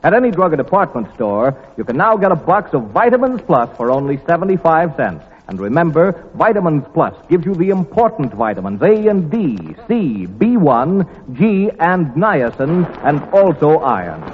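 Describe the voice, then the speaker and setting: A man's voice: fairly high pitch, very animated, normal speed, hardly any pauses, husky. An American man announcing on 1940s radio, reading scripted rules and sponsor copy with formal, emphatic salesmanship. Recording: tinny, band-limited 1940s radio recording with long reverb.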